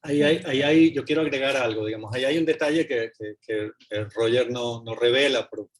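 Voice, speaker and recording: A man's voice: medium pitch, a little fast. A man speaking fluently on a video call, explaining ideas at a book presentation in an academic way. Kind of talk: academic panel talk.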